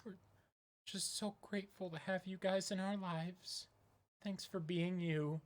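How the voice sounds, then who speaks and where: A woman's voice: on the low side, fairly even intonation, unhurried. A woman reading manga dialogue and narration aloud as part of a group dramatic reading.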